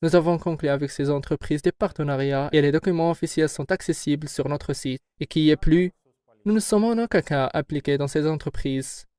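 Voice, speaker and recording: A man heading a company, giving formal, measured and assertive answers in an interview. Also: fairly high pitch, dark tone, clean tone, dry recording, clean studio sound. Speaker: company head answering questions.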